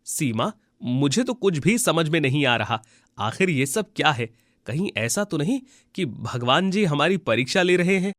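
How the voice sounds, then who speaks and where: A man playing a kind, honest, devout villager in an animated moral tale, speaking in an earnest, pleading way. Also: fairly high pitch, moderate pace, dry recording, clean studio sound. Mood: astonished.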